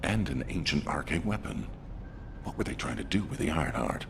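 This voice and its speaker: An actor playing a driven military commander in a video game, with a commanding, businesslike manner. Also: male voice, very deep voice, lively intonation, normal speed, background noise. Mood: questioning, commanding. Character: authoritative male military commander, driven and single-minded. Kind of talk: scripted video game dialogue.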